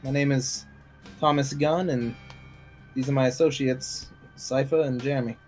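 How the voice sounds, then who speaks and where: A man's voice: mid-range voice, fairly even intonation, moderate pace, darkish tone, faint music behind, lightly acted. A man voicing a polite, casually formal male vampire in a tabletop roleplaying game. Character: polite male vampire introducing his associates.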